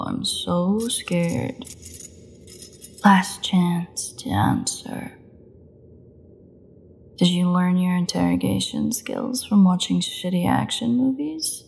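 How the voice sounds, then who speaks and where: A woman playing a defiant captive vampire in an audio drama, snarky, mocking and bitterly flippant, with a gravelly voice. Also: fairly low pitch, lively intonation, takes it slowly, long pauses, darkish tone, slight background noise, exaggerated voice. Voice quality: gravelly, creaky voice. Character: defiant, sarcastic captive female vampire under interrogation. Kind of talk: dramatic audio roleplay monologue.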